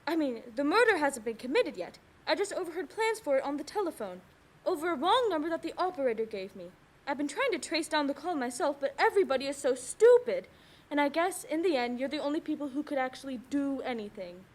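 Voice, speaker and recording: A woman's voice: sits high, very animated, fast. A woman playing a fretful, haughty bedridden wife in a suspense radio play, agitated and insistent.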